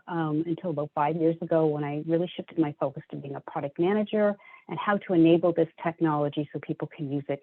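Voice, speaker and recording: A middle-aged woman with a lowish voice describes her career in a measured, unhurried way. The sound is dry and thin, like a phone call. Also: dry recording. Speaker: product manager, panelist. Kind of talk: self-introduction on a panel. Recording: dry, phone-like remote audio.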